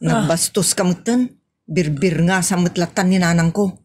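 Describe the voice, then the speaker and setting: A woman's voice: fairly low pitch, moderate pace, a little mellow, lightly acted. A woman playing a nagging, scolding wife in a comic audio drama, with a chiding, questioning delivery. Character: nagging, scolding, sharp-tongued wife in a comedy.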